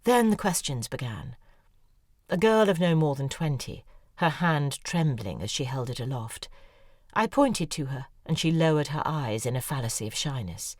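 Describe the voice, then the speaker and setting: A woman's voice: low-pitched, darkish tone, dry recording, clean studio sound. An actress narrating in first person as an acerbic, weary female novelist in an audio drama. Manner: sardonic, weary. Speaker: narrator and lead character, a novelist.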